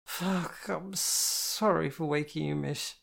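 A woman's voice: low-pitched, gravelly, voice pushed up, heavily acted. A woman playing a drunk, teary young woman. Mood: miserable. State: drunk, slurring, crying.

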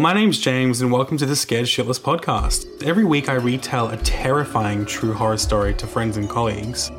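A man hosting a podcast, giving a scripted promo in a playful, mock-spooky manner. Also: medium pitch. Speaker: podcast host. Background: music.

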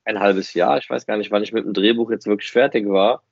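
A man's voice: on the low side, fairly even intonation, fairly quick, gravelly, no echo. A man co-hosting a fan podcast, explaining things in a casual, conversational way. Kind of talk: casual explanatory chat about a hobby production. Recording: slightly dull, dry close recording.

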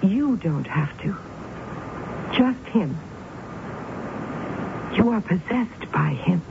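A woman's voice: medium pitch, lively intonation, very slow, vocal fry, background noise, exaggerated voice. An actress playing an obsessed, deluded woman in a 1970s radio drama, speaking with solemn, theatrical intensity. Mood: grim, accusing. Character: obsessed, deluded woman narrating her own descent. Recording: dull old radio broadcast with loud music.

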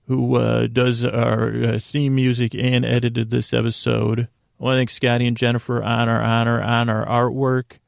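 Gravelly, soothing man rambling for bedtime. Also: mid-range voice, a little mellow, no echo, studio quality. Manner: meandering, halting, with pauses and repeated words; soothing, lulling. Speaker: podcast host. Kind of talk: rambling bedtime monologue to lull listeners to sleep.

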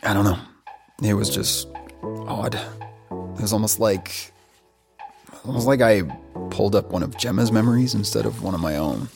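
Young boyfriend, audio drama: casual, hesitant, good-natured, lots of fry, over background music. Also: male voice, on the low side, moderate pace, pauses often. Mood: puzzled, weary. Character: easygoing young boyfriend, distracted and mildly teasing.

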